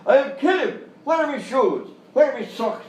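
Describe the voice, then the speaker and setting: An actor playing an excitable, dim-witted police chief in a live comedy sketch, blustering and befuddled. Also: male voice, extremely high pitch, moderate pace. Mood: agitated, befuddled. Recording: echoey, distant live stage recording, faint noise.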